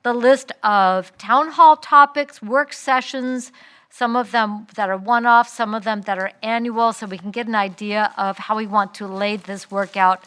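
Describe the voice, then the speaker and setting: A woman chairing a city council work session as mayor, brisk and organized, with some fillers. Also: fairly high pitch, fairly even intonation, fairly bright tone, clear, resonant tone. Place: council chamber.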